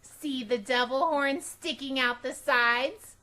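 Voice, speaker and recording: A woman voicing a bold, stubborn, excitable five-year-old girl in a children's storybook, high and loud, with blunt, childish phrasing. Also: slow pace, exaggerated voice. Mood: proud, boastful. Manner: blunt, emphatic, childish grammar.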